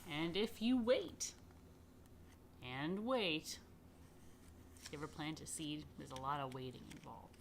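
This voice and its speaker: A woman reading a picture book aloud to children, expressively and unhurriedly, with dramatic pauses and chatty asides. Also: low voice, big pitch swings, noisy background. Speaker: rabbi reading a children's story. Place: indoors.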